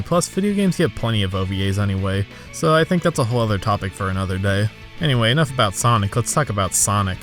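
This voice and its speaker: Very fast-talking man over music. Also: mid-range voice. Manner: sarcastic, exasperated. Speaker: video essay host. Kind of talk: scripted comedic review narration. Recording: background music under voice.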